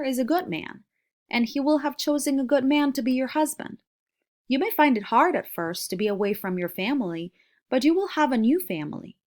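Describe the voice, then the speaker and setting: A woman's voice: medium pitch, natural voice. A woman voicing an earnest young girl who works as a letter reader in a storybook.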